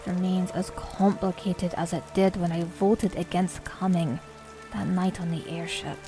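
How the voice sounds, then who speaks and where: A woman playing a solemn, wary druid who speaks in a grave, measured, formal way in a fantasy audio drama. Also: on the low side, little pitch movement, music in the background. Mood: troubled.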